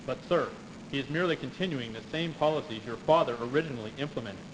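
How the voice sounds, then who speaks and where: A man plays an obedient aide in an old video game. He talks quickly and formally at an average pitch, deferring to his master. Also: natural voice. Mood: deferential. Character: obedient male aide soldier, timidly reasoning with his master. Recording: noisy early CD game audio.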